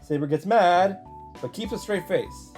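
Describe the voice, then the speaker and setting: A commentator with a mid-pitched voice reads a visual novel aloud in a narrating style, reacting along the way. Music plays underneath. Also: measured pace, darkish tone. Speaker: video game commentator reading aloud. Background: game music.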